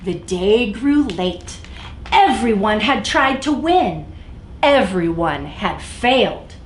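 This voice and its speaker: A woman's voice: medium pitch, sing-song, slow. A woman hosting a children's story time, reading aloud expressively and speaking directly to young listeners. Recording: echoey room, faint background noise.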